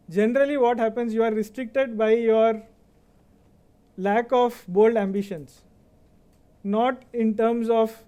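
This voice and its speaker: High-voiced Indian man, mellow and smooth. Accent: Indian English. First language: Kannada. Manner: conversational, often checks in with "right?". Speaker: convocation speaker. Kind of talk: motivational speech.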